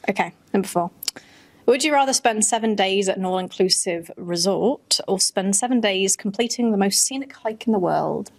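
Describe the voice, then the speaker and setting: A woman talks as a podcast co-host in relaxed, unscripted conversation. Her mid-pitched voice carries a wry, teasing manner as she banters. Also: moderate pace.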